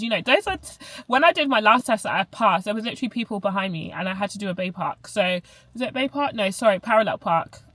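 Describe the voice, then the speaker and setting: A woman's voice: medium pitch, fairly bright tone, dry recording, rough recording. A young woman from Barbados with a Barbadian accent, chatting casually to camera as a vlogger, full of "like" fillers and self-corrections.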